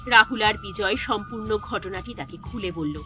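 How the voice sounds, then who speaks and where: A woman narrating a scripted horror story in a dramatic, expressive storytelling style. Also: mid-range voice, normal speed. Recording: music under the voice.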